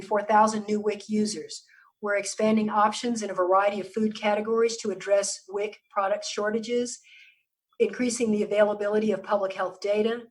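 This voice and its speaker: A woman public health official giving a measured briefing over a video call, hoarse and losing her voice, often stopping to clear her throat. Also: medium pitch, recorded from a distance, rough recording. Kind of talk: briefing presentation on a virtual meeting.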